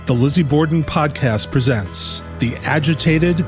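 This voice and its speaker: A man's voice: a little high, a little slow, over loud music. A man announcing a radio play in a dramatic, scripted promotional introduction. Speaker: podcast announcer.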